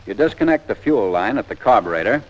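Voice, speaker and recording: A man's voice: fairly low pitch, animated, fast talker. An American man narrating a 1940s instructional training film in a plain-spoken, methodical, step-by-step way. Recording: dull, lo-fi 1940s film soundtrack.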